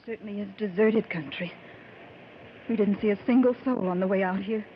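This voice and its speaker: A woman's voice: medium pitch, fairly quick, very noisy, natural voice. An actress playing a troubled, anxious wife, voicing her dread in tense, dramatic vintage radio style. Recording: muffled vintage radio broadcast with music.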